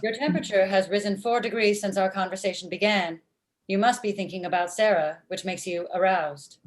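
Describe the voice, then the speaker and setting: A woman in her thirties playing a blunt, literal-minded, murderous office robot in a comedy table read, with deadpan, formal phrasing. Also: mid-range voice, a little fast, close to an everyday voice. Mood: deadpan, matter-of-fact. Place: indoor room at home, video call. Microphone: video call.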